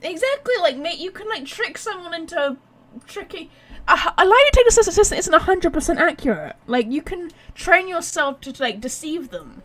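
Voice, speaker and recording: A woman's voice: very high-pitched, sing-song, moderate pace, fairly bright tone. A woman giving casual game playthrough commentary, chatty and reactive, thinking aloud. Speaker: gamer, streamer. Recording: faint background noise.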